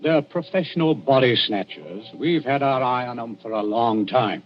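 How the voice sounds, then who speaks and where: An actor playing a genial, sly, insinuating police inspector in a 1940s radio drama, with folksy, wheedling politeness. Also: male voice, medium pitch, moderate pace, natural voice. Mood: pointed, insinuating. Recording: muffled 1940s radio broadcast, faint music.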